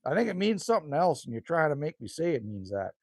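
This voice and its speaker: A man's voice: on the high side, big pitch swings, fast, vocal fry, husky, no room sound, studio quality. A man, chatting casually and rambling with viewers as a livestream host outdoors by a rural cabin. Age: 50s. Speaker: livestream host reading chat.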